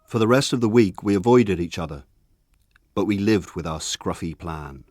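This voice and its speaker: An actor playing a grown man who narrates his rough village boyhood in a measured, wry radio drama style. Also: low voice, expressive, a little mellow, a little hiss or hum. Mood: wry, reflective. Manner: measured, wry, lyrical phrasing. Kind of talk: scripted radio drama narration, literary storytelling.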